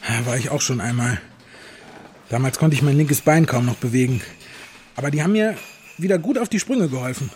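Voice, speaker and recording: A man's voice: medium pitch, lively intonation, brisk, long pauses, darkish tone, a little hiss or hum, close to an everyday voice. A man playing a sociable, folksy hospital patient who chats and is easily amazed, in an audio drama. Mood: chatty. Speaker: actor in a drama. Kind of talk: dialogue acting in an audio drama.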